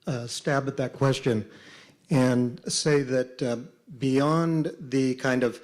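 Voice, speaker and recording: A male panelist giving a deliberate, reflective answer in a community discussion. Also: mid-range voice, a little mellow.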